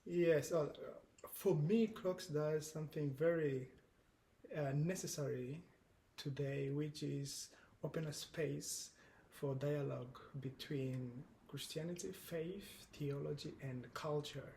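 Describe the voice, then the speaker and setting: A man's voice: fairly high pitch, fairly even intonation, gravelly, warm tone, slight background noise. Angolan man with Portuguese accent. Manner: earnest, measured, with halting restarts. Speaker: guest. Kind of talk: casual conversation.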